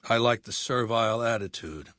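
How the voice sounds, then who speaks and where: A ruthless middle-aged male dictator from a video game, acted by a man, with a mid-pitched voice. He speaks deliberately and with condescension, as one used to command. The sound is dry and close, with no room around it. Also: a bit bright, dry recording, natural voice. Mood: contemptuous, menacing. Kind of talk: scripted video game character dialogue.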